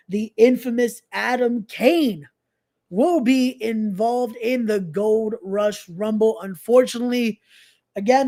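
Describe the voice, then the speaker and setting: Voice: mid-range voice, a little mellow. A young adult podcast host chatting casually on a live stream, promoting events and often repeating phrases with informal, slangy asides.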